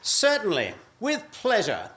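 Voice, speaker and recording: A male actor plays a smug, officious bouncer in a radio farce. His voice is high for a man and very lively, with pompous mock politeness. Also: unhurried, full character voice. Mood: smugly polite. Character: smug, officious man relishing throwing people out. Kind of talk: stage farce radio play acting.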